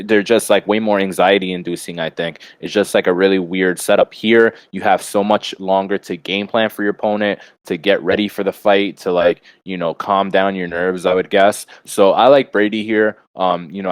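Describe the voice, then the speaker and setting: Male podcast co-host, low register, casual rambling sports analysis, close dry sound. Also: dry recording. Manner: rambling, frequent "you know" asides. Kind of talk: casual fight analysis and betting commentary.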